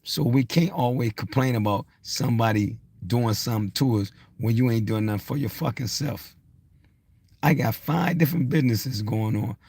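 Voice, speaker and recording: A man's voice: mid-range voice, normal speed, creaky, a little mellow, slightly rough. A man around sixty giving a blunt, insistent motivational rant, unscripted, hammering points through repetition. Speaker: social media motivational speaker.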